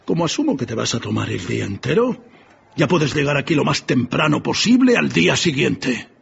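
A stingy old boss in a radio play, his voice thick with gravel. He is curt and scornful, cold to the bone. The sound is slightly dull, with faint noise. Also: mid-range voice, very animated, fairly quick. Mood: grudging, scornful. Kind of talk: radio drama acting.

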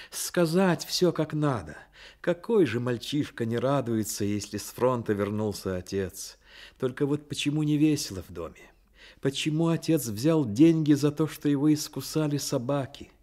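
A man's voice: on the high side, darkish tone, close to an everyday voice. A man performing as the earnest, troubled narrator of a literary radio drama. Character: earnest male storyteller, troubled and sympathetic.

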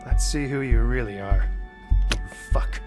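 An actor playing a gruff, sardonic man in a video game, muttering drily and cursing to himself. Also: medium pitch, unhurried, lightly acted. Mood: wry. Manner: muttering, dry, profane. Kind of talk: video game cutscene acting. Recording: loud music behind.

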